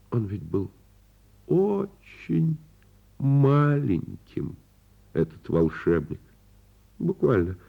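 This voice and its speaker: A man with a husky voice narrates a children's fairy tale as a radio play, theatrically and unhurriedly, drawing out words. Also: low voice. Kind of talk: expressive radio storytelling for children. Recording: muffled lo-fi radio recording. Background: music.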